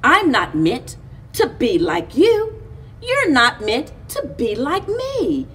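Animated woman reading a picture book slowly. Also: sits high. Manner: deliberate, animated phrasing with pauses between short lines. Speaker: library story time reader. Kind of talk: expressive picture-book read-aloud for children. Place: indoors, in front of a library chalkboard wall. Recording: distant camera microphone.

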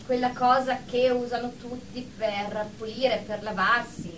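A woman's voice: on the high side, steady tone, a little slow, natural voice. An actress playing a prim, anxious germophobe nurse in a radio drama, fussy, defensive and hesitant as she explains herself. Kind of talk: Italian radio drama acting. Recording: echoey room, distant mic, background noise.

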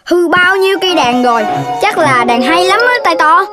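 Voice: extremely high pitch, lively intonation, moderate pace, over music. An actor voicing a greedy, cheeky little boy piglet with a blunt, teasing manner in a children's cartoon. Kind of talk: children's cartoon dubbing in Vietnamese.

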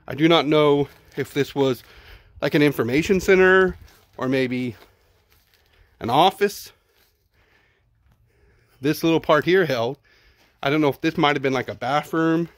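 A man's voice: fairly high pitch, no room sound. Chatty Texan man filming a walk outdoors. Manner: chatty, thinking aloud, repeats words. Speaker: vlogger exploring ruins. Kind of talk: casual walking tour narration. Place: outdoors at a ruined zoo. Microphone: handheld phone camera.

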